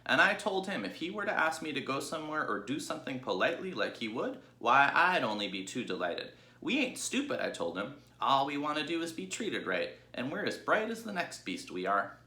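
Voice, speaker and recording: A man voicing a hoarse, blunt old ewe with rustic country speech in a read-aloud storybook. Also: mid-range voice, wildly swooping pitch, normal speed, few pauses, bright, forceful tone, voice pushed up, exaggerated voice. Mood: firm. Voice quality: hoarse, croaking. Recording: echoey room.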